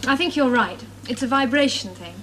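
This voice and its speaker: An actress playing an earnest, troubled young computer programmer with a British accent and a hesitant manner in a television drama. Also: female voice, sits high, animated, fairly quick, fairly bright tone. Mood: earnest, worried. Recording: noisy old TV drama soundtrack.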